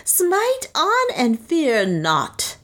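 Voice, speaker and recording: A woman reader voicing a fanatical old male high priest who screams his commands. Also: wildly swooping pitch, drawn-out words, a bit bright, heavily acted. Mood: frenzied. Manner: frenzied, screaming.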